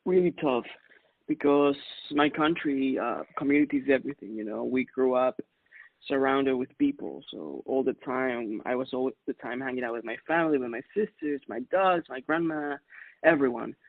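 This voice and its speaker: A man in his thirties from Ecuador, a music producer answering interview questions in a relaxed, chatty way with lots of fillers. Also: medium pitch, normal speed, gravelly, dry recording. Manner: relaxed, chatty, laughs often, many fillers. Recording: dry tinny phone-like sound.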